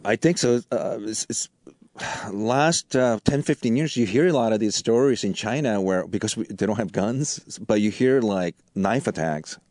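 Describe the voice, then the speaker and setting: A man's voice: fairly low pitch, moderate pace, a little mellow, no room sound, clean studio sound. Japanese-born man telling a story. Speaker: guest comedian. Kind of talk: casual podcast chat.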